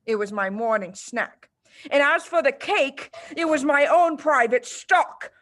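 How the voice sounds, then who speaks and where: A woman reading a storybook, voicing a furious, pompous headmistress who barks and booms out drawn-out insults. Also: lively intonation, a bit bright. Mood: furious accusation. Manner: barking, booming, drawn-out insults. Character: monstrous bellowing tyrant headmistress, furious and pompous.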